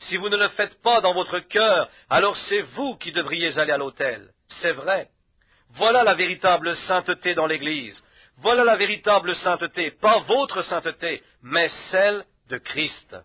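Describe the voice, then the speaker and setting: A man's voice: high voice, lively intonation, normal speed, no echo. A man delivering a sermon in an emphatic way, driving points home with short repeated affirmations. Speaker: preacher. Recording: dull, lo-fi, dry sound.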